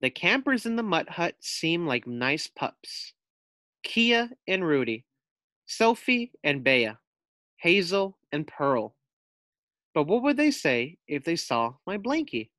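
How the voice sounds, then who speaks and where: A man's voice: a little high, very animated, slow, pushed and bright, no room sound. A man reading a children's picture book aloud as a teacher, expressively, with simple asides to young listeners.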